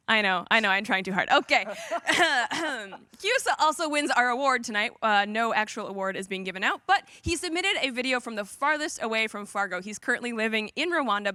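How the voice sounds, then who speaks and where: A woman co-hosting a live storytelling event, bubbly and quick to laugh at her own puns. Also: on the high side, pushed and bright, no room sound, clean studio sound. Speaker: event co-host.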